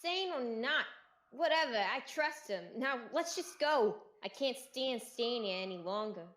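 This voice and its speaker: A woman's voice: fairly high pitch, animated, unhurried, fairly bright tone. A teenage woman acting a blunt, impatient, reckless young survivor in a radio theatre play. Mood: sarcastic, insistent. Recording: echoey room, faint noise.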